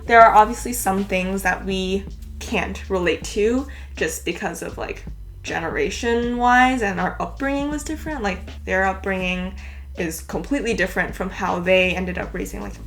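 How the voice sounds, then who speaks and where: A woman's voice: medium pitch, fairly bright tone. A young woman from California, Cantonese her first language, chatting casually through tag questions in a vlog, with many "like"s. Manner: chatty, filler-heavy, lots of "like". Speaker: YouTuber.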